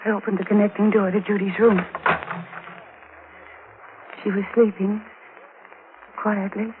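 A woman's voice: mid-range voice, level tone, unhurried, natural voice. An actress playing an anxious wife and mother in her twenties, narrating a 1940s radio suspense drama with breathless, dramatic tension. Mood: uneasy, hushed. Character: anxious 27-year-old wife and mother, alone at night. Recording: noisy muffled 1940s radio recording.

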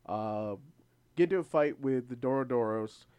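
Male podcast co-host, average pitch, informal and halting casual recap, dry close sound. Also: darkish tone, smooth, no echo. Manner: halting, searching for words, informal. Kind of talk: casual chat, episode recap.

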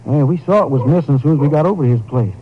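An actor playing a folksy, hesitant rancher with colloquial country speech in a 1950s radio drama. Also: male voice, fairly high pitch, expressive, rapid-fire, a crowd in the background, lightly acted. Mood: easygoing. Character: folksy rancher, hesitant friend covering for someone. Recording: dull 1950s radio recording.

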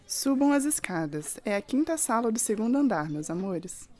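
Brazilian teacher, slightly high voice, brisk, affectionate, radio play. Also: female voice, very animated, darkish tone. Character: brisk, affectionate schoolteacher summoning her class. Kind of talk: radio drama acting.

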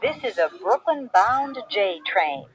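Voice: medium pitch, sing-song, a little slow, a crowd in the background. A subway announcer reading scripted train announcements, heard as a played-back clip. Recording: played-back clip in noisy chattering room.

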